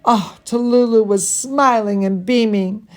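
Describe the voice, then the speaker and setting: A woman reading a bedtime story to children as their dance teacher, theatrical and gushing, with drawn-out emphasis. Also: a little high.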